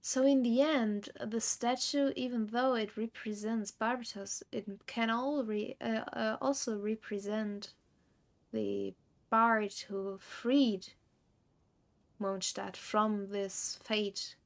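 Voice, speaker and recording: A woman giving casual live game commentary, thinking aloud in a halting, reflective way. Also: fairly high pitch, steady tone. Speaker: game streamer.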